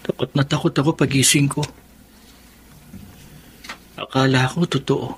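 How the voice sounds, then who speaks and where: A man's voice: medium pitch, steady tone, unhurried, slight background noise, close to an everyday voice. An actor playing a troubled young man haunted by nightmares, frightened and pleading in a radio drama. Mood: shaken.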